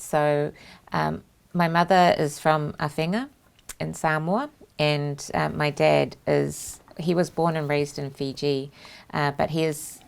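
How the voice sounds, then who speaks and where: A woman's voice: low voice, gravelly. A woman with a New Zealand accent hosting a studio chat show, telling her family story in a reflective way with many fillers. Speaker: host. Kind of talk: casual interview chat.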